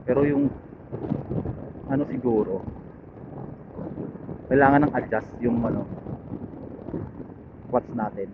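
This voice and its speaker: A man with a Filipino accent chats casually and haltingly to viewers as a motorcycle vlogger, thinking aloud through a helmet intercom mic. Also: mid-range voice. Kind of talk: casual unscripted ride chat to viewers. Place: outdoors, riding a motorcycle. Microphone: helmet intercom headset linked to action camera. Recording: phone-like helmet intercom mic, loud engine noise. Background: loud motorcycle engine and road noise.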